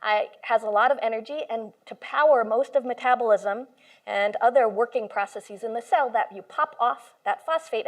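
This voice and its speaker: A woman computational biologist giving an informal lecture to a live audience in a room, speaking with enthusiasm and explaining with everyday comparisons. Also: a little high. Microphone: handheld or stand mic, moved closer at one point.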